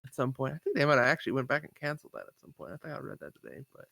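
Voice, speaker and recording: A man's voice: medium pitch, sing-song, very fast, creaky, pushed and bright, husky, no echo. A man co-hosting a live stream, chatting casually about gaming news in a rambling way. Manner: rambling, lots of fillers and agreement. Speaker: podcast co-host. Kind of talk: casual chat.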